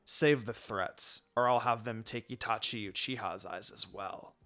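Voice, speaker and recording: Man acting sinister elderly man, scheming, cold, measured threats, story reading, music behind. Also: on the low side, pushed and bright. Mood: cold, mocking. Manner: measured, menacing.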